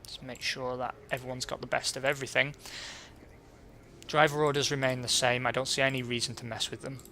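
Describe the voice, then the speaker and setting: Man, let's play host, casual commentary, bright tone, slightly hoarse, faint background hum. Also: mid-range voice, fairly quick. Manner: relaxed and chatty, reading out results. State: losing his voice, clearing throat.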